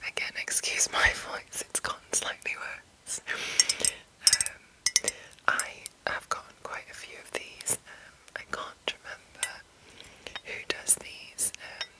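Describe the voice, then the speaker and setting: A woman hosting ASMR, chatting and reading aloud in a soft, breathy, unhurried whisper. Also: poor audio. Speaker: ASMR host.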